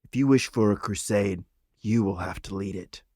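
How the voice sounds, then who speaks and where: A man's voice: fairly low pitch, moderate pace, warm tone, breathy edge, no room sound, studio quality. A man voicing a stern, pessimistic animal father and counselor in a storybook, grave and dismissive. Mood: dejected.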